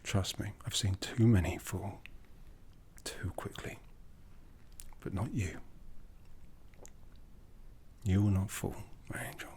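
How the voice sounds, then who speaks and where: A man voicing a brooding, tender and possessive vampire lord for an ASMR roleplay, in a soft, breathy half-whisper with slow, intimate, solemn delivery. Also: sits low, steady tone. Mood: solemn, intense.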